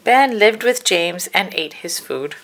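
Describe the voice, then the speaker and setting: A woman narrating a children's storybook aloud with measured, deliberate phrasing. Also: mid-range voice, expressive, a bit bright.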